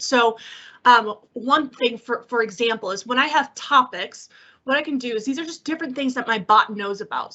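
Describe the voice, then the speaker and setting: A woman giving a software demo in a conversational way, explaining things with examples and rhetorical questions over an online meeting. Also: fairly high pitch. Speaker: technical specialist presenting a software demo. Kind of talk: webinar demo and question answering. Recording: dull, lo-fi online call audio.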